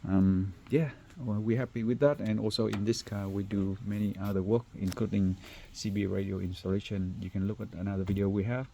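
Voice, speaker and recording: A man who is not a native English speaker, presenting a car installation job in an informal, halting walkthrough. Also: fairly low pitch, fairly even intonation, gravelly, mellow, slight background noise. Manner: halting, hesitant phrasing. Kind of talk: informal workshop walkthrough video.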